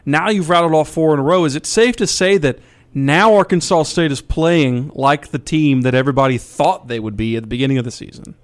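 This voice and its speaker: A man's voice: a little high, fairly quick, smooth. A man co-hosting a sports radio show, putting conversational interview questions to a guest.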